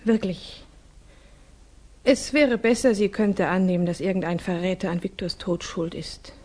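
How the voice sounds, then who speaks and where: A woman's voice: lowish voice, fairly even intonation, normal speed, darkish tone, lightly acted. An actress playing a formal, earnest young Russian noblewoman who is worried and grieving, in a radio drama. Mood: anguished, earnest. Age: young adult.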